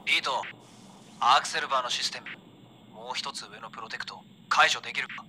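An actor playing a rough-spoken soldier who grumbles at the enemy. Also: male voice, mid-range voice, a little fast, bright, forceful tone, a little hiss or hum, poor audio. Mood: urgent. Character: rough, gruff male soldier.

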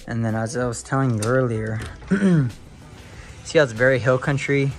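A young man filming a casual home tour on a handheld phone, talking to viewers with excited exclamations and lots of fillers. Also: mid-range voice, measured pace, soft background music. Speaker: real estate video host. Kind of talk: casual walkthrough narration. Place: inside a large empty house.

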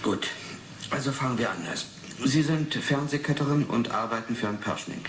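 An actor playing a persistent official interrogator with a pointed, probing manner in a 1980s German radio drama. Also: male voice, mid-range voice, moderate pace, natural voice. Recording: echoey room with clear background noise.

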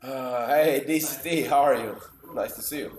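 A man's voice: medium pitch, moderate pace, echoey room. A young man from Finland, a casino streamer chatting casually and answering viewers' chat messages. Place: small room indoors.

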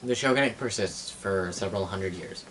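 A young man casually explaining things like a storyteller, halting and correcting himself as he goes, picked up by a camera across a room. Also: low voice, noisy background. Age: young adult. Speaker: storyteller and game master. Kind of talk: casual rambling explanation of fantasy game lore. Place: small cluttered living room, indoors.

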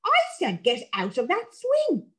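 A woman's voice: high-pitched, wildly swooping pitch, a little slow, a bit bright, voice pushed up, full character voice. A woman voicing an anxious young girl pleading with her brother in a storybook. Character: careful young girl, worried sister.

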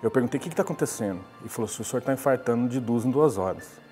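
A man's voice: mid-range voice, expressive, rapid-fire, darkish tone, in a large hall, faint music behind. A man, recounting a personal story in a conversational interview. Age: 40s. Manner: conversational storytelling, with many restarts. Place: glass-walled lobby, indoors.

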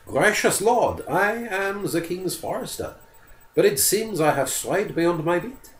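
A man voicing a polite, frightened forester in a storybook reading, his voice lifted high and anxious. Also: lively intonation, a little slow, reverberant, slight background noise. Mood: pleading. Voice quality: high, strained, pleading. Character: timid, polite male forester pleading.

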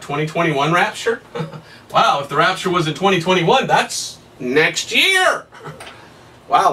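A man in his thirties preaching a Bible study at a whiteboard, emphatic and excitable, his voice somewhat hoarse. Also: fairly high pitch, far from the mic. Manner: emphatic, rising to excited peaks, laughs at his own points. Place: small room in front of a whiteboard. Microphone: camera at a distance.

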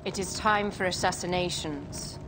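A woman's voice: lowish voice, normal speed, natural voice, poor audio. An actress playing a proud, seductive exiled queen of Egypt in a video game drama, speaking in an imperious, theatrical way. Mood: commanding, grave. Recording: music behind the voice.

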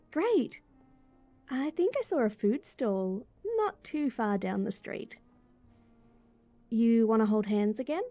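A woman voicing a sweet, bubbly young rental girlfriend in an intimate roleplay audio, giggly, chatty and gently reassuring. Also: fairly high pitch, expressive, takes it slowly, long pauses, dry recording, heavily acted. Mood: playful, excited. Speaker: voice actor.

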